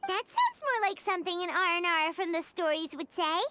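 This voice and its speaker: A woman's voice: very high-pitched, lively intonation, a little fast, bright, forceful tone, slight background noise, heavily acted. A woman voicing a small, childlike fairy companion in a video game, curious, blunt and given to exclaiming. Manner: refers to self in third person, exclaiming. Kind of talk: video game character acting.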